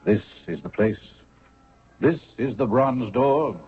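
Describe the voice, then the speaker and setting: Solemn Egyptian high priest, radio-thin. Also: male voice, animated, slightly rough, faint music behind, heavily acted. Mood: solemn, ceremonial. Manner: formal, measured, incantatory. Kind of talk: 1970s radio drama acting. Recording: narrow phone-like vintage radio recording.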